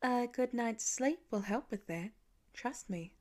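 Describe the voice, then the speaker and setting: A woman's voice: on the high side, slow pace, a little mellow. A woman voicing a loving, practical mother in a storybook reading, soothing and matter-of-fact. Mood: reassuring.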